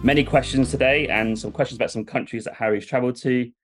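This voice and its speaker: A man's voice: mid-range voice, fairly even intonation, fast, faint music behind. A man hosting a podcast, chatting casually and asking long, rambling questions. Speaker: podcast host. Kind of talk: casual interview talk.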